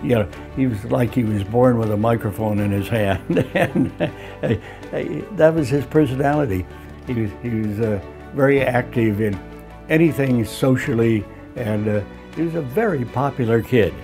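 Guy remembering stuff in an interview, mellow dark voice, halting, faint music behind. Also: medium pitch. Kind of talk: casual interview reminiscence.

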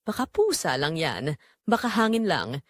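A frightened mother pleads and stands her ground, acted by a woman narrator. Her pitch leaps about expressively in a dry, close audio drama recording. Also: sing-song, unhurried, no room sound, full character voice. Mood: frightened, wary.

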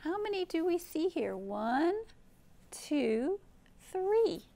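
A woman in her fifties hosting a children's storytime, speaking gently and expressively in simple phrases, with questions for young listeners. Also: extremely high pitch, sing-song, slow pace, vocal fry. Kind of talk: read-aloud storytime for young children, with chat and song. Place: indoor library program room.

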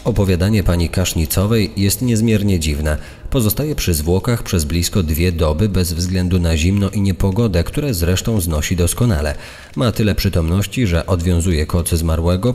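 A man playing an indignant journalist, reading an old press article in a formal, measured, accusatory manner. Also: low voice, dark tone, close to an everyday voice. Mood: suspicious. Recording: long hall-like reverb.

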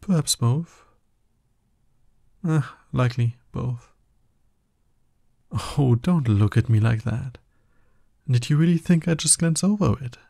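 A man's voice: mid-range voice, lively intonation, slow, gravelly, long pauses, mellow, no room sound, clean studio sound, heavily acted. A man playing a smug, dominant villain in an ASMR roleplay, taunting his hero nemesis with drawling exasperation. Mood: amused taunting.

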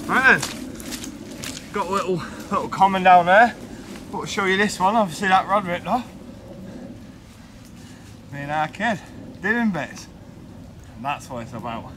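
A man outdoors by a lake, chatting in a laid-back way and bantering with his brother. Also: fairly high pitch. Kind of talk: casual chat. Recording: outdoor noise behind.

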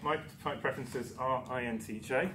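A man's voice: medium pitch, normal speed. A man from Newcastle giving a conference talk, chatty and self-deprecating, with many ums and restarts. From Newcastle, England. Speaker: conference speaker. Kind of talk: presentation talk. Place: darkened lecture room. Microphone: far camera. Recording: echoey room with background noise.

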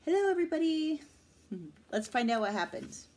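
Middle-aged woman, storybook reader, high voice with lively swings, casual at-home delivery. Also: normal speed. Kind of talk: children's read-aloud, casual. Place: indoor living room at home.

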